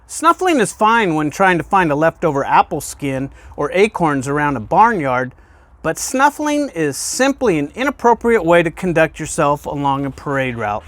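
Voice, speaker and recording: A man with a fairly high voice reads a picture book aloud for children. His delivery is very animated, rising and falling widely. He puts questions to the young listeners and sets off key phrases with emphatic pauses. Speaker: guest reader, fire department deputy chief.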